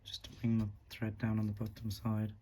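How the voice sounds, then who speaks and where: A man with a husky voice from a recent flu, calmly talking through a beading tutorial step by step while he works. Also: fairly low pitch, a little fast, a little hiss or hum. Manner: calm, counts beads aloud, talks while working. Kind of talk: step-by-step craft instruction.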